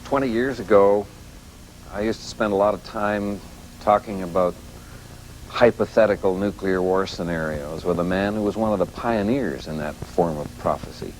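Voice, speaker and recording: An actor as a male network anchor in a 1980s crisis drama, with a very low voice. He speaks solemnly and haltingly, weary but composed, over noisy old broadcast audio. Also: a little mellow, close to an everyday voice. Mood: somber, reflective. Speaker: TV news anchor. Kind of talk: 1980s TV breaking-news anchoring.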